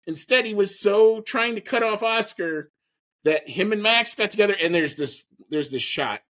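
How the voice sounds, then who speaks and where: A man's voice: sits high, animated, normal speed, fairly bright tone. A man hosting a podcast, giving a casual, opinionated and emphatic recap of a sporting event. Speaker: podcast host.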